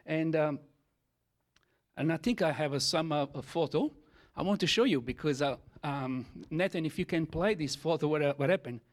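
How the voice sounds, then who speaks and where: Italian-accented man giving halting testimony. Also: a little high. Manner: halting, with frequent fillers and broken English. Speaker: church speaker giving testimony. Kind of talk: testimony sermon.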